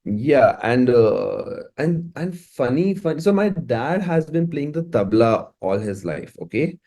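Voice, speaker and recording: A man's voice: medium pitch, big pitch swings, a little slow, mellow. A man with an Indian English accent, talking casually as an interviewed musician, often repeating words as he thinks.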